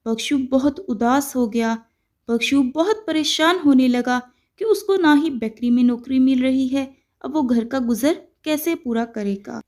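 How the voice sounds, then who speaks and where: A woman reading scripted children's story narration as a storyteller, in a measured, instructive manner. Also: high-pitched, darkish tone.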